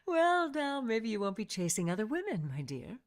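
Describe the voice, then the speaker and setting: Woman acting a jealous witch wife, swooping pitch. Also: sing-song, moderate pace. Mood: mocking. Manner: teasing, possessive, commanding. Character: jealous, domineering witch wife, sweetly menacing. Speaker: radio drama actor. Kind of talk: vintage radio drama acting.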